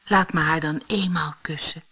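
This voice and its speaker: Woman as earnest, low-pitched storybook prince. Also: fairly even intonation, moderate pace, sharp tone, slightly rough, heavily acted. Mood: pleading. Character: earnest, lovestruck, pleading young prince.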